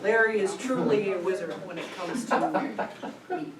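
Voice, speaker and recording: A woman's voice: mid-range voice, moderate pace, a loud crowd, close to an everyday voice. A woman playing a chummy, teasing male barbecue buddy in a staged reading of a comic play. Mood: mock-earnest. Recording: noisy, echoey, distant mic.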